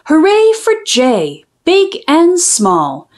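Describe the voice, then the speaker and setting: Woman, children's storyteller, fairly high voice, lively swooping intonation, expressive sing-song phrasing, picture-book read-aloud. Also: very slow.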